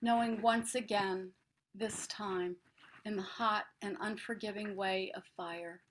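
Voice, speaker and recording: A woman recites poetry at a very slow pace in a medium-pitched voice. There is faint noise in the recording. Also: fairly even intonation, low-quality recording. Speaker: poet.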